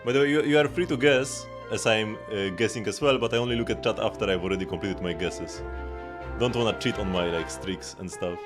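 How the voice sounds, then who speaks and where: A man casually commentating on a game livestream, thinking aloud haltingly and repeating words as he goes. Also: on the low side, over music. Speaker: live streamer.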